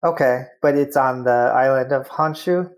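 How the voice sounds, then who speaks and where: A man hosting an interview segment, chatty and friendly, full of fillers and quick follow-up questions. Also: mid-range voice. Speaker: interview host. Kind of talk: casual interview.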